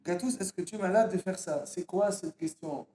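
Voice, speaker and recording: A man's voice: high-pitched, moderate pace, dark tone, roomy sound, slight background noise. A man with a slight Arabic accent who learned French at school, bantering playfully and goofily on a livestream. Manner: playful, goofy, joking. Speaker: co-streamer.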